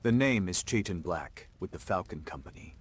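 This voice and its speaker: A man's voice: sits low, lively intonation, normal speed, slightly rough. An actor playing a principled, defiant young American frontier man in a game cutscene, firm and earnest. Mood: formal, firm. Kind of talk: video game cutscene acting.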